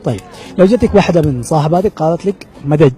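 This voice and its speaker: Rapid-talking Yemeni man, teasing interviewer. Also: on the high side, expressive, mellow, soft background music. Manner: teasing, coaxing, laughs often. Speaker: street interviewer, show host. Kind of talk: casual street quiz.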